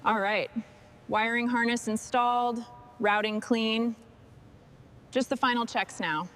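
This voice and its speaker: A woman's voice: fairly high pitch, fairly even intonation, slow, fairly bright tone. A woman giving short scripted instructions and remarks as a mechanic on a restoration job.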